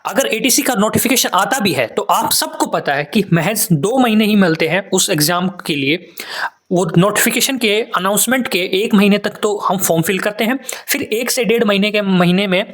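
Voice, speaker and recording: A man's voice: high-pitched, moderate pace, fairly bright tone. An Indian man speaks as an exam preparation coach addressing students. His manner is earnest and instructive, and he builds his advice through repeated lists to drive each point home. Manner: earnest, instructive, repetitive listing for emphasis. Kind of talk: advice talk to students, mixing Hindi with English.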